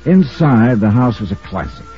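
An actor playing a brisk, wry insurance investigator in a 1950s radio detective drama, narrating crisply in the first person. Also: male voice, medium pitch, lively intonation, lightly acted. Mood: brisk narration. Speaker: narrator and lead of a detective serial. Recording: muffled old radio recording with music.

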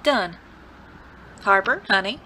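Woman playing a bright, teasing saloon sweetheart. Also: medium pitch, expressive, slow, a little hiss or hum. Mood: coaxing, teasing. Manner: coaxing, teasing, sugary. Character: flirtatious, teasing saloon woman, sweet but quietly dangerous. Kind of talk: audio drama acting.

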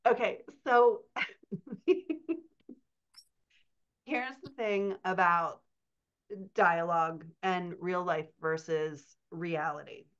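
A middle-aged woman explaining things as a writing coach on a conversational podcast, stressing key words, over a video call. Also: fairly low pitch. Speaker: writing coach answering questions. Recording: dull, muffled video-call audio.

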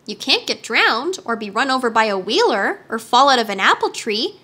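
A friendly living scarecrow played by a woman reading a storybook. He speaks in a mild, reassuring way, with a high and bright tone for a male figure. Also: big pitch swings, moderate pace, slightly rough, full character voice.

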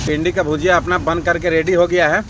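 A man in his forties, a truck driver, chatting to viewers as he films himself on a phone held at arm's length, outdoors by a highway and in his truck cab. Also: little pitch movement, brisk. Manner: chatty, addresses viewers as friends. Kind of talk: casual vlog narration. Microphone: phone camera at arm's length. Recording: loud traffic and road noise. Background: vehicles and traffic.

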